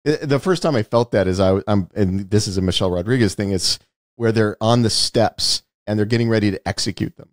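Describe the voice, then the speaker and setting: A man's voice: on the low side, a little fast, dry recording, clean studio sound. A man co-hosting a podcast in a casual film-review chat, telling stories in an emphatic, unguarded way. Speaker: podcast co-host, television producer.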